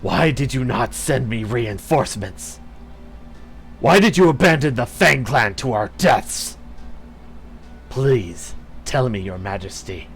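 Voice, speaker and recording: Man doing a fairy beast chieftain, male, slow, bellowing rage, faint music behind. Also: fairly low pitch. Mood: pleading. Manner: pleading, then roaring with rage. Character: loyal male fairy beast clan chief, furred, vengeful.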